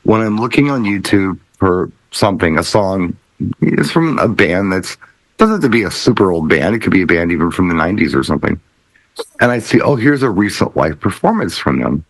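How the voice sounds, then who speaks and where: An American man with a lowish voice that moves around in lively swings. He chats casually and muses through his stories haltingly, recorded close and dry. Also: no room sound, low-quality recording. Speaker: panelist on a DJ talk show. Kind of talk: casual chat.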